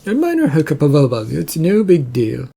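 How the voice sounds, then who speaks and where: Male reader as shipboard computer for audio fiction: creaky, nagging, hesitant and evasive. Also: a little high, wildly swooping pitch, moderate pace, warm tone, exaggerated voice. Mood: dismissive.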